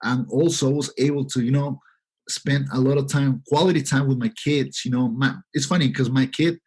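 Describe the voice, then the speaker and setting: A man's voice: mid-range voice, steady tone, moderate pace, darkish tone, husky. A man in his thirties from Peru, a podcast guest telling his story in a rambling, earnest way with many fillers. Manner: rambling, heavy "you know" fillers, earnest. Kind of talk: casual interview talk.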